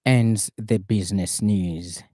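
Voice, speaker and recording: A man's voice: on the low side, slow pace. A man with a Zambian accent reading a scripted news bulletin on the radio from a studio.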